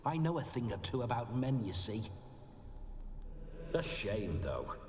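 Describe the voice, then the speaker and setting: A swaggering, lecherous nobleman's ghost for a video game. He is pompous and flowery, his pitch leaping wildly through slow, savored phrases, with music playing behind him. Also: big pitch swings. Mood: bawdy, jovial. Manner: pompous, theatrical, archaic flowery speech. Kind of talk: video game character acting.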